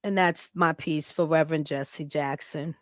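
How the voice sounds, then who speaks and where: A woman's voice: low voice, fairly even intonation, measured pace, dry recording, studio quality. An American woman hosting a podcast, giving a casual tribute that is partly read aloud. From Chicago, United States. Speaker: podcast host.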